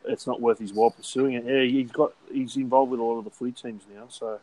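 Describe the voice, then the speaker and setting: A mid-pitched Australian man in easy podcast banter. He rambles in a blokey way with casual swearing and the odd laugh between words. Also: fairly even intonation, fast talker, mellow, poor audio. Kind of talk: casual chat.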